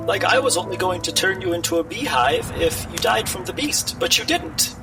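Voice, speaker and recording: A man voicing an eccentric judge who plays host and talks offhandedly about dark magic. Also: high-pitched, lively intonation, fairly quick, over music, voice pushed up, rough recording. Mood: blithe. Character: odd, cheerful judge playing host.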